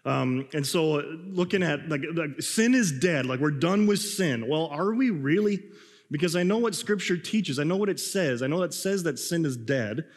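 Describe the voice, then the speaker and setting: A man preaching a sermon, hoarse and a bit raspy from a cold, talking in a loose conversational way with restarts. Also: fairly high pitch, lively intonation, moderate pace, in a large hall. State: hoarse, coughing. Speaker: pastor.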